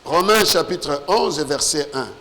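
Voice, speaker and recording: A man with a Congolese accent preaching emphatically in French, in short declamatory phrases with pauses for an interpreter. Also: on the high side, lively intonation, a crowd in the background. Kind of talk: emphatic call-and-response sermon. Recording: echoey large hall with long reverb.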